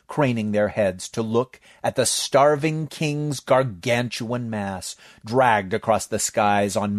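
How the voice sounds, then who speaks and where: A man reading a horror comedy story aloud in a measured storytelling manner. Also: on the low side, no echo, studio quality. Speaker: narrator. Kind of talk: scripted story narration.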